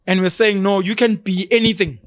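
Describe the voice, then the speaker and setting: South African man, 30s, talk co-host; animated, mocking, high register, dry studio. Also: brisk, no echo. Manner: animated, mocking, slips into isiZulu. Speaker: podcast co-host. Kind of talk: opinionated casual chat.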